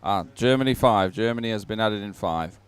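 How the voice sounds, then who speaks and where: A man presenting live sports commentary, pausing as he goes and adding upbeat, sentimental asides. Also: fairly low pitch, smooth. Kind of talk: live commentary on a dragon boat regatta.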